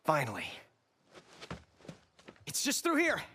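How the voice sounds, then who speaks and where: A man's voice: a little high, wildly swooping pitch, slow, sharp tone, a little hiss or hum, exaggerated voice. An actor playing a cocky, eager boy adventurer in a video game, speaking casually. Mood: excited. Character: cocky, excitable boy adventurer, young nephew.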